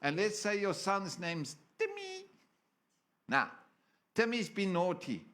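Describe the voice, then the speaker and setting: A man preaches in church in a playful, storytelling way, often stopping to ask for an amen. His voice sits a bit higher than most men's. He jokes with the crowd between scripture readings. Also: huge pitch swings, takes it slowly, a bit bright. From South Africa. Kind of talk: sermon.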